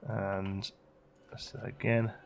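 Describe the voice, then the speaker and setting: A man giving casual, low-key gameplay commentary as a video host, thinking aloud and muttering to himself as he works. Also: little pitch movement, slow pace, creaky, soft background music. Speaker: let's play video host.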